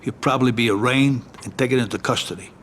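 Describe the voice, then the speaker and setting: A man's voice: mid-range voice, fast, a bit bright, a little hiss or hum, lightly acted. A man playing a shrewd, level-headed mafia family adviser in a video game cutscene, speaking in a matter-of-fact, advisory way. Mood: measured warning. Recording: music under the voice.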